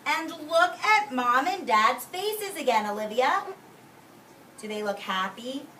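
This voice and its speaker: A woman preschool teacher reading aloud expressively to young children, explaining words simply and giving gentle classroom directions. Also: high voice, animated, takes it slowly. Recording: roomy, distant microphone, faint noise.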